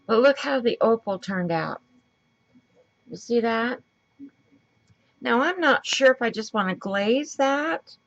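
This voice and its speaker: A woman with a raspy voice and a stuffy nose, chatting casually as she works through a crafting tutorial and thinks aloud. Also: on the high side, lively intonation, slow pace, long pauses, dull audio. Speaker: craft video host.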